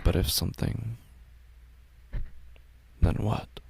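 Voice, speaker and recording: A man's voice: lowish voice, fairly even intonation, slow pace, creaky, slightly rough. A man hosting and narrating a scripted horror story. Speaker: podcast host and narrator.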